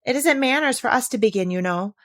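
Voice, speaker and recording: A snooty talking rose from a storybook, as a woman acts her out. She is blunt and condescending, with a severe air and a lifted pitch. The recording is dry. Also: expressive, fast, dry recording, close to an everyday voice.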